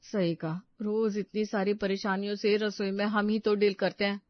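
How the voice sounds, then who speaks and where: A woman's voice: mid-range voice, level tone, moderate pace, close to an everyday voice. A woman voicing a harried, aggrieved elder daughter-in-law in a family story, plaintive and emphatic, in a slightly dull recording. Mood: frustrated.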